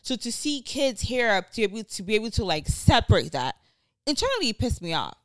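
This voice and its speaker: A woman's voice: on the high side, sing-song, brisk, no room sound, rough recording. A young woman from Nigeria co-hosting a podcast, talking casually, bluntly and animatedly, and swearing freely. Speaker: podcast co-host. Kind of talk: casual chat.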